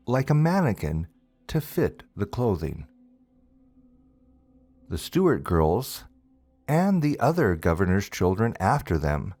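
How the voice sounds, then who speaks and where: Guy reading a spooky story, really slow w dramatic pauses, super dry close sound, bit of music behind. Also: on the low side, a little mellow, dry recording. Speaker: storyteller, narrator. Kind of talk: scripted ghost story narration. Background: background music.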